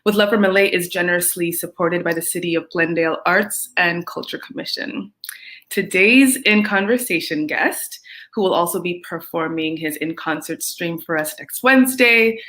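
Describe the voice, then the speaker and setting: A young woman hosts, her voice a touch low for a woman. She introduces the show from a script and then chats warmly and brightly with her guest. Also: normal speed. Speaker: host.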